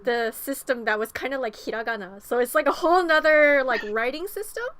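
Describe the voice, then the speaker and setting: Rambling young woman, light high voice. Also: a little fast, a bit bright. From Los Angeles. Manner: rambling, many fillers and restarts. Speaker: podcast co-host. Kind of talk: casual chat.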